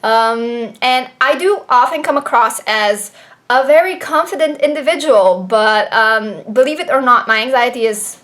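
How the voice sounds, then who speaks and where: Chatty young Slovenian woman, high voice. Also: normal speed, fairly bright tone. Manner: chatty, rambling with many fillers and restarts. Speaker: booktuber. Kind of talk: casual chat to camera. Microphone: camera propped on desk.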